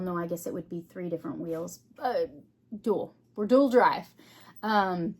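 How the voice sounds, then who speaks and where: A woman giving an unscripted, casual hobby vlog, thinking aloud in short, halting phrases. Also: mid-range voice, vocal fry, darkish tone, husky. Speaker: craft vlogger.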